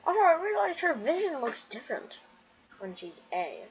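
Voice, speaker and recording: A woman's voice: high-pitched, wildly swooping pitch, normal speed, lo-fi sound. A young woman giving casual, rambling, giggly commentary while playing a video game. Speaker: gamer streaming a playthrough.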